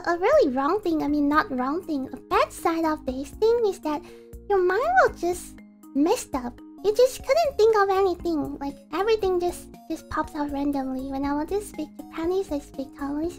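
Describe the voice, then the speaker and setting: A woman's voice: very high-pitched, expressive, moderate pace. A woman streamer giving a casual self-introduction, chatty and insistent. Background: faint music.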